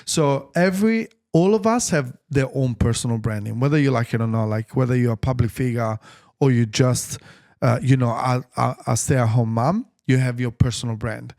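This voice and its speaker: A man with an Italian accent chats loosely in an interview, circling back and restarting his sentences. His voice sits at an ordinary middle pitch, and he keeps talking with animated energy. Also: moderate pace, creaky. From Italy. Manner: rambling, many restarts and fillers, animated. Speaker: tailor being interviewed. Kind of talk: casual interview conversation.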